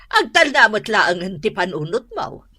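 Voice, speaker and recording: A woman acting an animated, talkative character in an Ilocano domestic radio comedy-drama. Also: mid-range voice, unhurried, slightly rough, no room sound, full character voice. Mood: chatty, nosy.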